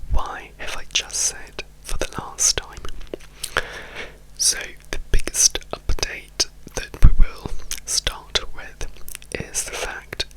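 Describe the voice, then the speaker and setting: Man, ASMR whisper, unhurried, bright airy whisper, halting restarts. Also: poor audio. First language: Italian. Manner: soft, slow, intimate whisper with frequent restarts. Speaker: ASMR YouTuber. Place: indoors, home room.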